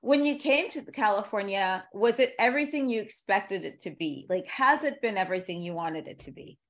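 A woman's voice: medium pitch, animated, a little fast. A woman hosting a casual interview, chatting in an informal, rambling and joking way. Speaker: interviewer, podcast host.

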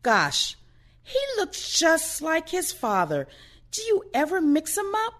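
Blunt older woman with swooping, slow delivery by an actress. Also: very high-pitched, full character voice. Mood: sardonic. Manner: outspoken, sardonic, expressive. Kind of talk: audio play acting.

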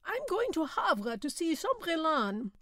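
Brief: panicky peasant man, high and whining, played by a woman. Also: big pitch swings, unhurried, a bit bright, dry recording, clean studio sound, exaggerated voice. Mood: plaintive.

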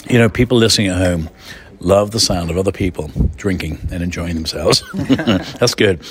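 A man's voice: sits low, moderate pace, noisy background. Effusive man hosting a radio food show. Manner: effusive, chatty and theatrical. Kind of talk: radio interview.

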